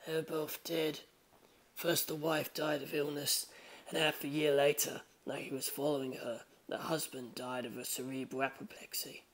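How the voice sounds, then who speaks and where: Man acting an aloof high-school boy, hushed, soft, deadpan, morbidly calm, audiobook character. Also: moderate pace, close to an everyday voice, poor audio. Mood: detached, morbid. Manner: detached, deadpan.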